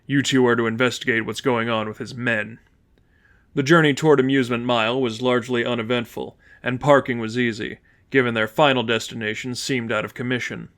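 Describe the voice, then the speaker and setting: A man's voice: mid-range voice, a bit bright. A man reading fan fiction aloud as scripted audiobook narration.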